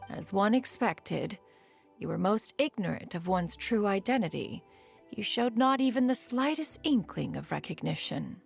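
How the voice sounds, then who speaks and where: A woman's voice: medium pitch, expressive, a little slow, creaky, husky, exaggerated voice. Haughty, aloof female immortal for a game story; stately formal diction, stern but caring, music underneath. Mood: composed, haughty. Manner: formal, haughty, calls herself "one". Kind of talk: scripted game character monologue.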